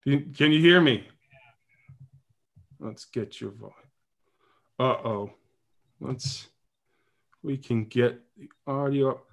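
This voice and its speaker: A man's voice: mid-range voice, slow, creaky, pauses often. Male podcast host, chatty and jokey, talks politics off the cuff in a loose livestream. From New Hampshire. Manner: joking, chatty, thinks aloud. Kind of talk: casual political chat on a livestream.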